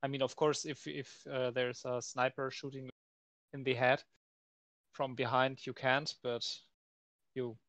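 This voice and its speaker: A man's voice: medium pitch, flat delivery, measured pace, pauses often, a bit bright, dry recording, muffled recording. A man playing a tabletop game, talking casually in hesitant, piecemeal phrases.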